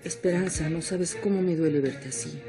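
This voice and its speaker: Kind motherly lady, gentle and soothing, music under. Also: low-pitched, normal speed. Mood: consoling. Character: older woman, kind and protective. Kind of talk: 1990s telenovela acting.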